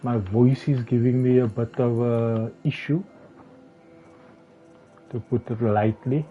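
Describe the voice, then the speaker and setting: A slightly hoarse man commentating his game live, talking haltingly with many restarts and fillers. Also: mid-range voice, steady tone. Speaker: game streamer. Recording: tinny phone-like sound with faint music.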